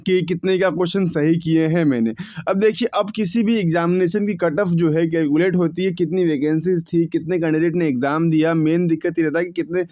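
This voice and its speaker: A man's voice: a little high, fairly even intonation, a little fast, dark tone, no echo, dull audio. A young man hosting an exam coaching channel, explaining results casually in a conversational tone, with frequent restarts and repeated numbers. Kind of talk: informal exam analysis talk to viewers.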